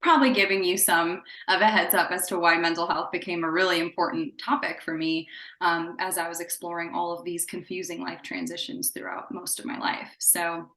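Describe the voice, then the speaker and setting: A woman's voice: on the low side, fairly even intonation, a little fast, bright, forceful tone. Chatty woman therapist in an echoey room. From grew up in Indonesia. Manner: chatty, upbeat, with fillers and light laughs. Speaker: psychotherapist guest. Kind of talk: casual interview talk.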